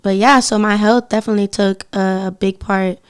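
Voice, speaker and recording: A young woman from Puerto Rico, a singer and podcast guest, talking candidly in a relaxed, slangy way. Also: mid-range voice, fairly quick, smooth. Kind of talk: casual interview chat.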